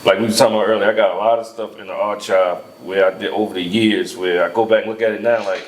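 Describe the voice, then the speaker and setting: A man's voice: on the low side, fairly even intonation, fast talker, a little hiss or hum. A man, casually interviewing in loose, profane street slang as a podcast host. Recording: echoey room.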